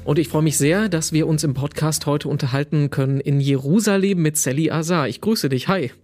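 A man's voice: a little high, a little fast, a little mellow, soft background music. A man hosting a podcast, asking questions in a conversational way with occasional filler sounds. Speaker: podcast host, interviewer. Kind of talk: conversational interview.

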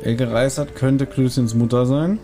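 Podcast guy mulling over guesses out loud, lots of ums, mellow voice, faint music behind. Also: mid-range voice, steady tone, unhurried. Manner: thinks aloud, hesitant, many fillers. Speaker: podcast co-host. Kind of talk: casual chat, guessing quiz answers.

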